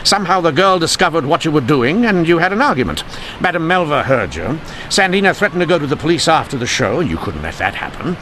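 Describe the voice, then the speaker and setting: Lively, bright-voiced period police inspector. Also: male voice, a little high, fast, slight background noise. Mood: confident, accusing. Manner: wry, theatrical, formally polite. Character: witty, dogged male 1890s police inspector. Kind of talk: old-time radio mystery acting.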